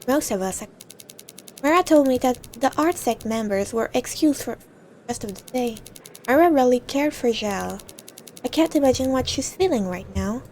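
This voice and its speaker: A woman's voice: on the high side, darkish tone, a little hiss or hum, rough recording. A woman reading visual novel narration aloud in a dramatic storytelling way, now and then stumbling over words.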